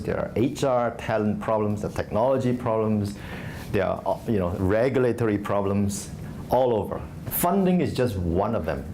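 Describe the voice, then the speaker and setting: A man's voice: medium pitch, a little mellow, slight background noise. A man from Singapore, an investor giving a conversational conference talk full of fillers and restarts. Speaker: venture investor speaking at a startup conference. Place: conference stage.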